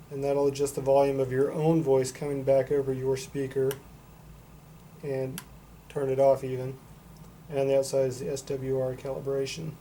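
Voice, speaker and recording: A man's voice: mid-range voice, dark tone. A man giving a casual, unscripted product demonstration in a matter-of-fact, explanatory way. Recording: noticeable background noise.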